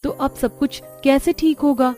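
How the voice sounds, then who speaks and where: A woman's voice: high voice, steady tone, a little slow, warm tone, soft background music. A woman voicing an earnest, worried young daughter-in-law in a cartoon family story. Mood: earnest, concerned. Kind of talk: cartoon voice acting.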